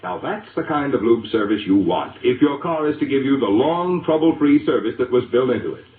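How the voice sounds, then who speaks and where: A man's voice: medium pitch, expressive, fairly quick. An American man announcing on radio in a 1940s advertising style, with an emphatic, polished salesman's address. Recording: vintage radio broadcast, narrow-band, boxy echo.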